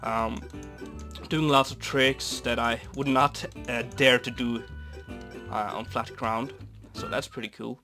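A young man from Norway, raspy and stuffy from a cold, rambling easily with many fillers while commenting on a building game. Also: mid-range voice, steady tone, slow. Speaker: Minecraft builder. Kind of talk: casual gameplay commentary. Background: soft guitar music.

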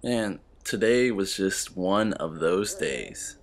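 Young man, deep low voice, slow unhurried delivery, earnest confessional narration. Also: over music. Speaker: fitness vlogger. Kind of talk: reflective voice-over narration.

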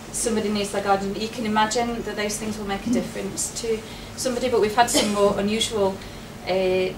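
A middle-aged woman talks a room through a presentation in a relaxed, chatty way, often breaking into laughter. She is picked up by a distant camera, so her voice sounds far off and roomy. A steady background noise sits under her voice. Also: mid-range voice. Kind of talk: public presentation. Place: wood-panelled meeting room with projector. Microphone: far camera, no mic.

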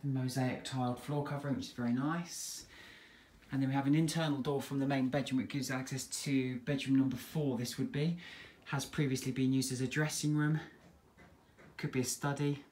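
A man with an English accent giving a relaxed, chatty walk-through of a house for sale, filmed on a handheld phone indoors and in the garden. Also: mid-range voice, normal speed. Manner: relaxed, chatty, plain sales patter. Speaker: estate agent giving a house tour. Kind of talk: walk-through property tour narration. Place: inside a detached house and its back garden. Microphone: handheld phone camera.

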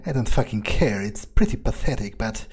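A man giving in-character game playthrough commentary, smug, sardonic and profane, musing haltingly into a close microphone. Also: on the low side, expressive, darkish tone, slightly rough. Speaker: gamer role-playing his character.

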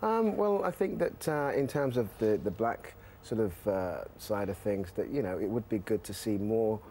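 A man in his forties with a Jamaican accent, an actor talking as a studio guest, thoughtful with pauses and fillers. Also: lowish voice, lively intonation, darkish tone, slight background noise. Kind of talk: casual TV interview talk. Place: TV studio sofa.

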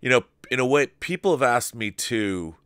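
A man's voice: mid-range voice, expressive, moderate pace, a bit bright, clean tone, no echo. An older man hosting an interview and talking reflectively in a home studio, using a large studio mic. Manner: reflective, rambling. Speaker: host. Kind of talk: conversational interview. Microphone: large studio mic with headphones.

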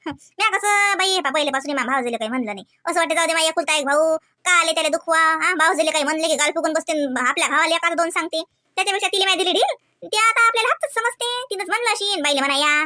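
A woman voices a gossipy village housewife in a comedy cartoon. She is chatty and full of exclamations, with a very high, bright voice and a rustic Varhadi Marathi accent. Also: racing.

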